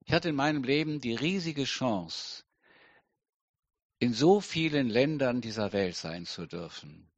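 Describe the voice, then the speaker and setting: A middle-aged man preaching a sermon slowly and deliberately, with emphatic pauses. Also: mid-range voice, lively intonation, no echo, lo-fi sound. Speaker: pastor.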